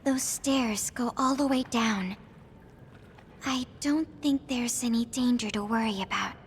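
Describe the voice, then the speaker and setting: An actress playing a spirited, earnest young heroine in a video game, with an expressive, concerned manner. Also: female voice, fairly high pitch, measured pace, slight background noise. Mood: earnest curiosity.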